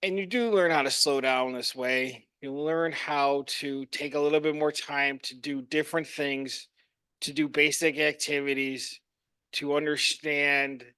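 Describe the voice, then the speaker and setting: Man, earnest prepared speech, measured delivery, dry close sound. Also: fairly high pitch, bright, forceful tone, smooth, dry recording. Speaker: club member giving a prepared speech. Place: meeting room.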